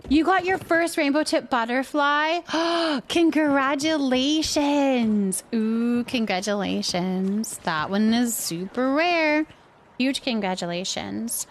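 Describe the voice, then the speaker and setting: A woman's voice: fairly high pitch, lively intonation, slow pace, slight background noise. A woman chatting casually as she hosts a game livestream, thinking aloud and reacting to viewers. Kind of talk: casual chat while playing a building game, reacting to viewers.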